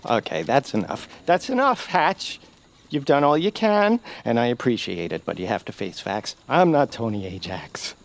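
A man's voice: mid-range voice, big pitch swings, moderate pace, creaky. A man voicing a fussy, anxious, bookish peepkin in a fretful, theatrical way for an audio comedy. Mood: exasperated, defeated. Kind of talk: audio fiction comedy acting.

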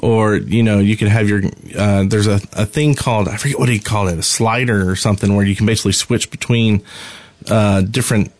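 A man's voice: lowish voice, steady tone, a little fast. A man co-hosting a casual gaming podcast, talking in a loose, rambling way with hesitant explanations. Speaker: podcast co-host.

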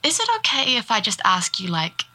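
Bright, chatty young woman reporter. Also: medium pitch, huge pitch swings, fairly quick, full character voice. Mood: nervous. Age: young adult. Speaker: young arts radio reporter and local guide. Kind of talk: naturalistic audio drama dialogue.

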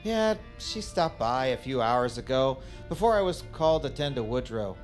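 Actor as cold doctor with animated pitch. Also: male voice, soft background music, close to an everyday voice. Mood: dismissive. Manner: dismissive, halting, accusatory. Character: cold, self-important male doctor, resentful and dismissive. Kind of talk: audio drama acting.

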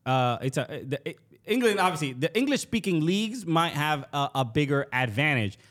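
Male co-host, slightly high voice, hesitant, restarts a lot, casual soccer chat. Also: sing-song, a bit bright. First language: Spanish. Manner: halting, many restarts and fillers.

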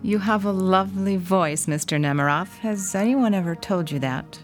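An actress playing a strange, quiet housewife in a radio drama, speaking softly and detached. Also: female voice, mid-range voice, normal speed, faint music behind, lightly acted. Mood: eerily calm. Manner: soft, eerie and detached.

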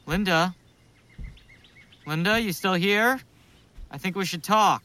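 A man's voice: high voice, slow pace, bright, forceful tone, full character voice. An actor playing a paranoid, sardonic man unravelling as he records a log of his psychedelic self-experiment in an audio drama. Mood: paranoid, taunting. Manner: agitated, muttering, sardonic. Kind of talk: audio drama monologue, spoken case-study log.

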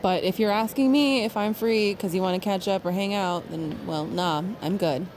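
A woman playing an aloof, sardonic teenage shop girl in an audio drama, with a deadpan, biting delivery. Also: mid-range voice, normal speed, slight background noise, natural voice. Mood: cool sarcasm. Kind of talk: naturalistic audio drama acting.

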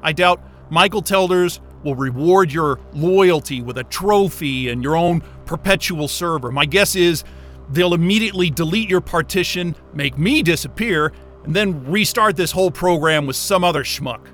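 A man plays a frayed, stubborn scientist in a science fiction audio drama. His voice sits high and has a bright, tense edge. He is wry, foul-mouthed and easily agitated, with soft music underneath. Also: moderate pace, close to an everyday voice. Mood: determined, wry. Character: frayed, stubborn, sardonic astrophysicist held in a lab. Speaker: lead actor in an audio drama. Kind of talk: naturalistic sci-fi audio drama acting.

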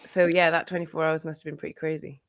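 A woman hosting a podcast, calmly steering the conversation with questions. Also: sits low, fast, dry recording. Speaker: podcast host.

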